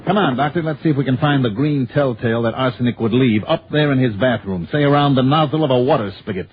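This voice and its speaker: An actor playing a brisk, shrewd, skeptical insurance investigator with an American accent and clipped, probing delivery in a 1960s radio drama. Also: male voice, mid-range voice, a little hiss or hum, natural voice. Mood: urgent, sure. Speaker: actor in a radio detective series. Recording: tinny vintage radio recording, music behind.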